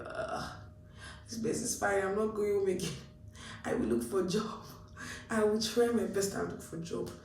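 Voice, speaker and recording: A young woman with a Nigerian accent talks casually and animatedly, her voice sitting a little back from the mic. The room gives her speech a noticeable echo, with a faint hum of ambience underneath. She sounds like she is chatting with a friend. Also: fairly low pitch. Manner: chatty and animated, acts out her own past thoughts. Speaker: vlogger. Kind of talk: casual advice talk to camera. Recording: roomy echo, mic a bit distant.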